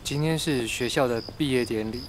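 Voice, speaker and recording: A man's voice: mid-range voice, fairly quick, noisy background, lightly acted. A man playing a kindly, regretful schoolteacher in a radio drama. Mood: gentle. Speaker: voice actor. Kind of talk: radio drama acting and recollection. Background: music.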